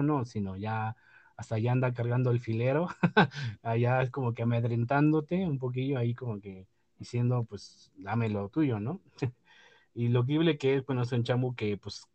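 A man's voice: medium pitch, a little mellow, dry recording, lo-fi sound. A man hosting a podcast, chatting casually about the news with many fillers and restarts. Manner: hesitant, many fillers and restarts, laughs at own remarks. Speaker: podcast host.

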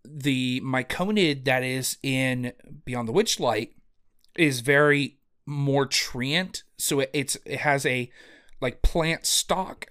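Excitable man rambling through a podcast chat. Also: mid-range voice, slow pace, clear, resonant tone. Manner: rambling, excitable, many fillers and restarts. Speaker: podcast co-host. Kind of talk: casual chat about tabletop games. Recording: lo-fi home audio.

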